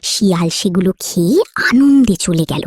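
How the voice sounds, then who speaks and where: A woman's voice: on the low side, lively intonation, normal speed, a little mellow, no echo, studio quality. A woman narrating a scripted children's story as the storyteller.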